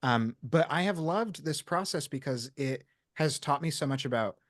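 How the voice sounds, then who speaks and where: A man from Brazil, an audiobook narrator, talking in a lively, self-mocking way on a panel. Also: fairly high pitch, moderate pace, no echo, clean studio sound. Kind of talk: panel chat.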